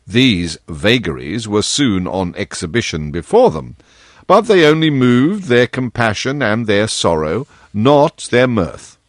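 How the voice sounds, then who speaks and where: A male audiobook narrator with a lowish, very animated voice. He reads a storybook in measured, expressive phrases. The sound is dry, with no room around it. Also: dry recording.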